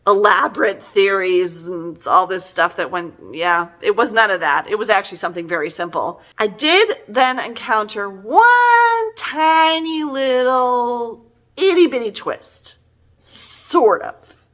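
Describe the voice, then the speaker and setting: Bright, forceful woman in casual review mode. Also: mid-range voice, a little slow. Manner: animated, exasperated, emphatic. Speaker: book reviewer. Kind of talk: casual conversational book review.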